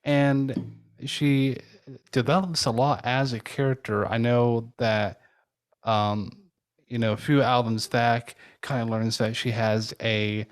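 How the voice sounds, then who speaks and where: A man co-hosting a conversational interview, speaking in a halting, tentative way. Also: mid-range voice, fairly even intonation, smooth. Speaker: podcast co-host interviewing a guest.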